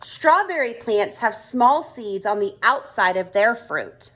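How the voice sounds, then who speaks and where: A woman's voice: fairly high pitch, lively intonation, takes it slowly, a bit bright, heavily acted. A woman voicing a friendly grown-up frog in a children's storybook, explaining things patiently and with emphasis. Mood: patient, reassuring. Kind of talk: children's storybook read-aloud dialogue.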